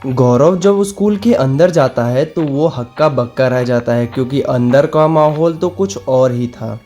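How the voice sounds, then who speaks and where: A man reads a scripted cartoon story as narrator. His voice is medium in pitch and notably soft and mellow. Light music plays underneath. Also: a little slow. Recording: music behind the voice.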